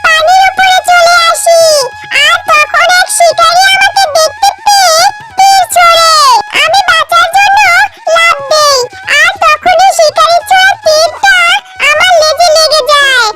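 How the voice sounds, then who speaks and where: A woman voicing a kind, grateful magical golden fish in an animated children's cartoon. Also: very high voice, unhurried. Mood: pleading. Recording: music behind the voice.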